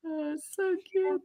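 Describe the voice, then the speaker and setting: A woman's voice: very high voice, warm tone. A woman from Poland chatting casually as co-host of a livestream, informally reading out viewer comments. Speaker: live stream co-host.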